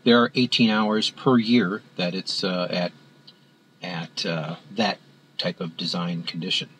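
A man walking through a spreadsheet on screen as an instructor, talking in a halting way with many fillers and restarts. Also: low voice, steady tone, fairly bright tone, a little hiss or hum, rough recording. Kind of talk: screen-recorded software walkthrough.

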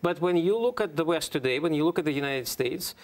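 A man speaking as an analyst on a studio political panel, in a halting way with many pauses. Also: on the high side, lively intonation.

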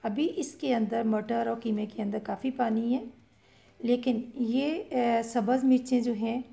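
Gravelly-voiced woman explaining a recipe. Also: fairly high pitch. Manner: calm step-by-step explaining with fillers. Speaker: cooking channel host. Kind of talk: voice-over cooking instruction.

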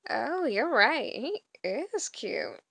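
A woman's voice: sits high, very animated, very slow, creaky, pushed and bright, no room sound, exaggerated voice. A woman voicing mischievous, theatrical cartoon men in an exaggerated, dramatic amateur comic dub. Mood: teasing.

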